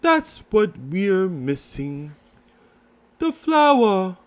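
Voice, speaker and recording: A man voicing a gentle, simple-minded storybook bear in a mild, unhurried way. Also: high-pitched, very animated, pitched above the performer's own voice, exaggerated voice.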